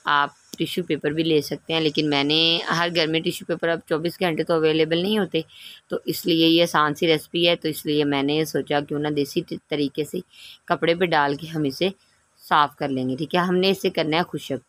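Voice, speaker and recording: A woman's voice: low-pitched, fairly even intonation, moderate pace, no room sound. Woman, home cooking host, casual tutorial narration, instructive, frequent check-ins with the listener.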